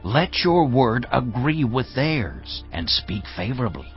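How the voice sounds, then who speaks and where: An actor playing a persuasive royal messenger in a dramatized audio Bible, coaxing and urging. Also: male voice, mid-range voice, sing-song, slow. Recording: dull lo-fi audio over music.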